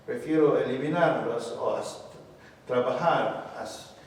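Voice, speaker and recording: A poet answering audience questions in a public talk, speaking slowly and hesitantly with many restarts, Spanish not his first language. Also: mid-range voice. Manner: slow and halting, with many restarts. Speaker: invited poet. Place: large hall with an audience. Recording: echoey hall from far off, faint hiss.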